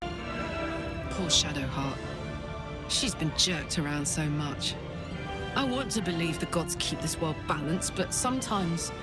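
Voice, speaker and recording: Unhurried, brash young woman warrior. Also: low-pitched, expressive. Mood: sympathetic, troubled. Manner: heartfelt, informal, emotive. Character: brash, warm-hearted young woman warrior with a painful past. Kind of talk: video game character acting. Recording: game music behind the voice.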